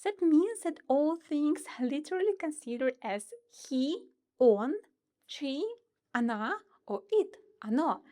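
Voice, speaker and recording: A woman's voice: high-pitched, slow pace. A young woman with a Russian accent teaching a grammar lesson online, playful and speaking straight to her viewers. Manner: lively, playful, talks directly to viewers.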